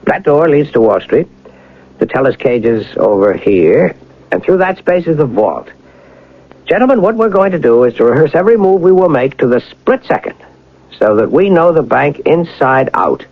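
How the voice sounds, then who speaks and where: A well-bred young master thief from an old radio play. His speech is polished and formal, lively in pitch, with a gravelly edge and a muffled broadcast sound. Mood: confident, instructing. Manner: polished, formal, measured, with hesitant pauses. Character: wealthy, educated young gentleman turned suave bank robber. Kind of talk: radio drama acting and first-person narration.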